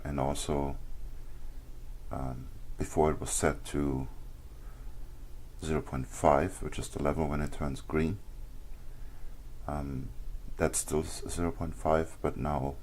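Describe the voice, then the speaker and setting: A man hosting a live trading session, explaining charts casually, his voice worn and sore, often clearing his throat and pausing with ums. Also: a bass voice, steady tone, darkish tone, a little hiss or hum. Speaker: trading stream host.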